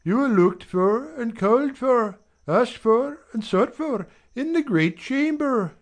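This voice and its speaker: An actor playing a bossy, harried servant in theatrical Shakespearean style, calling out orders emphatically. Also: male voice, very high-pitched, animated, slow, full character voice.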